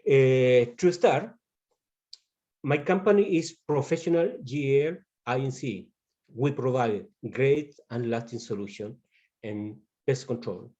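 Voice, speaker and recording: A man from Chile with a Chilean Spanish accent gives a webinar presentation as a pest control specialist, in halting non-native English. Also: mid-range voice, mellow, highs cut off.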